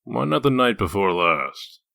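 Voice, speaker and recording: Casting: male actor as hard-boiled wolf detective, very low, forceful edge, dry delivery for comic drama. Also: sing-song, a little slow, creaky, no room sound, full character voice. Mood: dry, probing. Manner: needling, dry, interrogating. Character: gruff, sardonic male wolf detective in human form. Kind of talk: comic dub dramatic acting.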